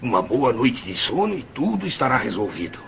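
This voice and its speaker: A man playing a calm, kindly old pharmacist in an audio drama, hesitant and paternal in manner. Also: mid-range voice, creaky, slight background noise. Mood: kindly reassuring. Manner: hesitant, gentle, with drawn-out interjections.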